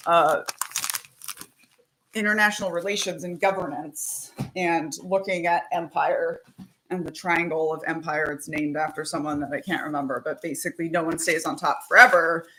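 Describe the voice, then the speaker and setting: A woman talking casually and animatedly as host, with quick asides, laughs and the odd swear word. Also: sits low, steady tone, a bit bright, rough recording. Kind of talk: casual chat to camera.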